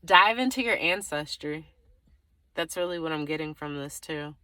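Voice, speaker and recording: A woman's voice: low voice, normal speed, sharp tone, dry recording. A woman giving a casual, improvised tarot reading to the viewer, thinking aloud in a rambling way, often trailing off and circling back. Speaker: tarot reader.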